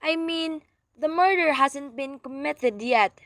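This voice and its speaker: A woman's voice: sits high, slow pace, fairly bright tone, slight background noise. An actress playing a frantic, haughty wealthy married woman in a suspense radio play, demanding and indignant. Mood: insistent, flustered. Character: frantic, imperious wealthy married woman reporting a murder plot.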